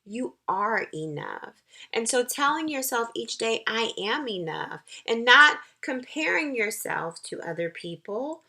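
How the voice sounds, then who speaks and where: A woman school counselor giving an encouraging, nurturing talk to young children, with deliberate, emphatic phrasing and pauses. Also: a little high, sing-song, gravelly, sharp tone.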